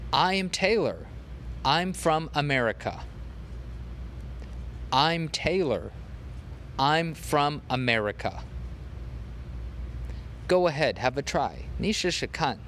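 Male podcast tutor, repeats phrases patiently, unhurried pace and a lively, rising-and-falling voice. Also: mid-range voice, slight background noise. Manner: repeats example phrases slowly for learners. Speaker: language teacher. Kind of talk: podcast language lesson.

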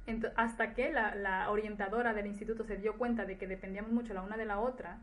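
A woman's voice: medium pitch, steady tone, fast talker. A woman with an Ecuadorian accent touched by Spain, chatting casually as a podcast guest and recalling her own experiences. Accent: Ecuadorian with Spanish influence. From Ecuador, later grew up in Spain. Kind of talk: casual conversation, personal recollection.

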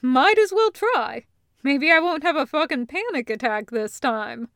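A woman's voice: high voice, animated, a little slow, fairly bright tone, dry recording, studio quality, voice pushed up, heavily acted. A woman voicing a guarded living weapon posing as someone's brother in a story, with forced, sweary swagger. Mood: forced nonchalance.

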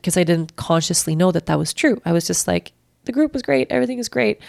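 Woman, Swedish accent, podcast host, candid and halting, fry-laden lower voice. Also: no room sound, clean studio sound. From Sweden. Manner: candid, halting, self-reflective with frequent restarts and soft laughs. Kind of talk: personal reflective monologue.

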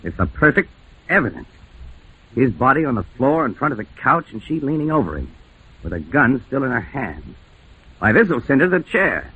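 Shrewd police lieutenant, husky, muffled radio. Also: male voice, medium pitch, big pitch swings, moderate pace, long pauses. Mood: intrigued. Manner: probing, emphatic questioning. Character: shrewd, blunt police lieutenant closing a murder case. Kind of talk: old-time radio detective acting. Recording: muffled vintage radio recording, narrow band.

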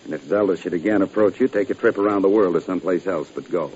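A man's voice: low voice, quick pace, a little mellow, lightly acted. A man playing a wry middle-aged record producer in a radio drama, speaking in a dry, precise, literate manner. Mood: grave, weary. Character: wry, erudite older male record producer. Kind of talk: 1950s radio drama acting. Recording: muffled, hissy old radio recording.

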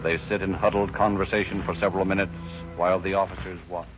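An actor as a grave, formal American narrator, reading scripted 1930s radio crime narration. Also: male voice, low-pitched, little pitch movement, quick pace, over music, natural voice. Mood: suspenseful. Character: grave, formal male narrator of police story. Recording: dull old radio recording.

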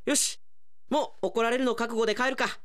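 A man's voice: extremely high pitch, fairly even intonation, normal speed, fairly bright tone, dry recording, studio quality, voice pushed up. A man playing a hapless, anime-obsessed alien agent in human disguise, muttering to himself melodramatically in a radio drama. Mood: resigned.

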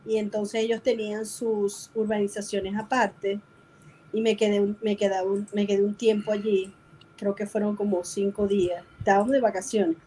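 A woman's voice: mid-range voice, little pitch movement, moderate pace, warm tone, a little hiss or hum, low-quality recording. A bubbly woman with a Venezuelan accent hosting a casual chat show in an effusive way. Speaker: show host.

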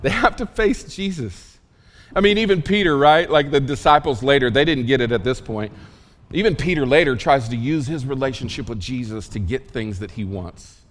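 A man preaching a sermon in a casual, emphatic way, with asides and quick questions to the congregation. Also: medium pitch, big-room sound. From Oklahoma. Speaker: pastor.

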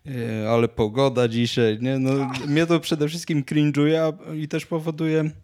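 A man's voice: fairly high pitch, level tone, unhurried, darkish tone. A young man in casual podcast conversation, speaking in a hesitant, self-reflective way. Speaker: podcast co-host.

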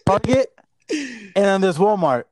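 A man's voice: sits high, big pitch swings, unhurried, darkish tone. A man co-hosting a casual podcast, joking and rambling as he switches between English and Spanish. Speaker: podcast co-host. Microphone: not his regular mic.

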